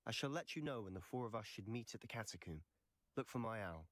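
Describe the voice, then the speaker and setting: A man's voice: on the low side, lively intonation, fast, a little hiss or hum, lightly acted. Man playing a determined, reckless young wizard student. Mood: resolved. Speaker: video game character. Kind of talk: scripted video game dialogue.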